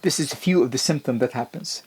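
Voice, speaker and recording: A man giving an emphatic explanatory lecture on health, often rising sharply in pitch on key points and restarting his sentences. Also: quick pace, darkish tone, breathy edge.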